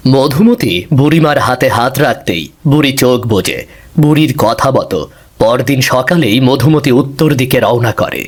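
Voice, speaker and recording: Medium-pitched male fairy-tale narrator. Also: animated, moderate pace.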